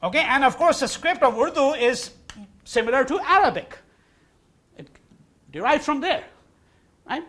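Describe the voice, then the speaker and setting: Male professor, Hindi native, high-pitched and lively classroom lecturer; poses his own questions and repeats key terms. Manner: repeats key words for emphasis, asks and answers own questions. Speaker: university lecturer. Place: lecture hall.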